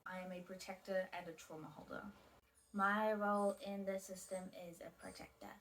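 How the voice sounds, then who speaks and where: A woman in her twenties speaking at a mid pitch in a plain, measured way. She answers short introduction questions one by one, and her voice often drops away softly at the end of a phrase. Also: level tone, rough recording. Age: 20s. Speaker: video creator. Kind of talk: interview-style self-introductions.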